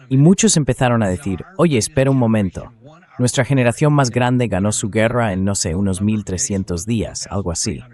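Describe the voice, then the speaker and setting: A man's voice: on the low side, a little mellow. A synthetic AI man's voice reading a dubbed translation of explanatory military commentary.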